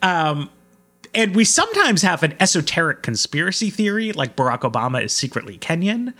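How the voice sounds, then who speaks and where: American man with very lively, swooping intonation. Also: on the high side, husky. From United States. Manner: discursive, emphatic, often checks in with "right?". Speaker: author, interview guest. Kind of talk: conversational podcast interview.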